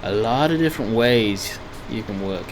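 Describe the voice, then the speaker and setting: Slightly croaky man chatting through gameplay. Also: fairly low pitch, animated, moderate pace, noisy background. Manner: rambling, chatty, self-correcting. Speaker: gaming video host. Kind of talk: casual gameplay commentary.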